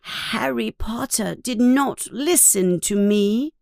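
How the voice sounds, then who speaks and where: A woman voicing a small, timid, tearful male house elf who talks in a squeaky, pleading, servile way. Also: expressive, extremely slow, no echo, clean studio sound, heavily acted.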